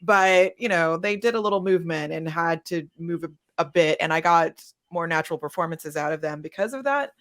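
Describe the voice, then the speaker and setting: A woman's voice: lowish voice, brisk, few pauses, fairly bright tone. A woman talking casually as a panelist, telling stories from her own work and acting out little quotes. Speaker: panelist, audio drama creator.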